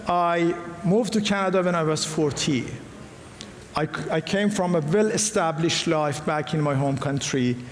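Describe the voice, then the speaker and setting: A middle-aged man with an Iranian accent talks earnestly to a gathered audience, often restarting his sentences. His voice sits a little high for a man. The sound rings through a large hall with a long echo and faint room noise behind it. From Iran. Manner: earnest, halting, frequent restarts. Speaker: guest speaker. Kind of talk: personal talk to a live audience.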